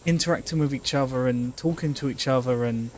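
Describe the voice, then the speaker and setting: Guy rambling on a game stream, swears a lot, average pitch, slight background noise. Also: fairly quick, poor audio. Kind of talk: casual gaming livestream commentary.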